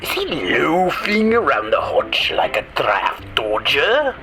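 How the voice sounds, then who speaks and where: A man voicing a hushed snake-oil salesman pitching a rabbit tonic in a 1940s-style radio ad parody, speaking low and drawn out. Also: huge pitch swings, slow pace, over loud music, exaggerated voice. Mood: sly.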